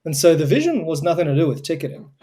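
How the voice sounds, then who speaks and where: An earnest young Australian man talks with conviction. His voice sits a little high but sounds warm and relaxed. He explains things fluently. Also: fast. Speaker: guest, co-founder of a tech charity. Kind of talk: remote interview answers.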